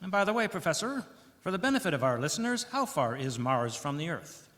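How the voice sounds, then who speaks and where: High-voiced earnest radio reporter, played by a man, hall reverb. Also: big pitch swings, brisk, fairly bright tone. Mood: inquisitive, formal. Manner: formal announcer phrasing, pointed questions. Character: earnest, inquisitive male 1930s radio news reporter. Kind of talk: 1930s radio news acting. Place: church hall stage, live performance.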